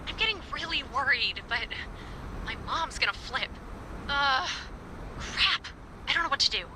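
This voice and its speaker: Anxious high-voiced researcher over noisy radio. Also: female voice, animated. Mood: worried. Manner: halting, thinking aloud. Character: anxious, science-minded woman researcher on night duty. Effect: walkie-talkie radio. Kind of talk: naturalistic video game acting.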